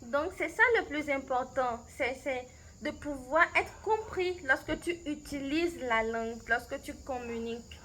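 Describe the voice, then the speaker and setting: A woman's voice: high-pitched, measured pace, pushed and bright, a little hiss or hum, low-quality recording. A woman from Benin with a Beninese French accent, a language coach answering interview questions in a thoughtful way, with many hesitations and restarts.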